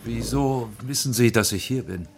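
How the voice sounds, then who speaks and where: An actor playing a wary, cornered film director in a radio drama, speaking in guarded, terse, halting phrases. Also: male voice, medium pitch, a little hiss or hum, natural voice.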